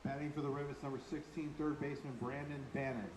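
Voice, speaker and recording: Distant male stadium PA announcer, quick delivery. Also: medium pitch, flat delivery, a little hiss or hum. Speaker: stadium public address announcer. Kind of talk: batter introduction announcement. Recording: distant stadium loudspeaker with music behind.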